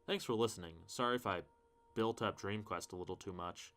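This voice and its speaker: Guy with a lowish voice doing casual book commentary, wry and self-deprecating, swears a little. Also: brisk, fairly bright tone. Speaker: video host reviewing horror fiction.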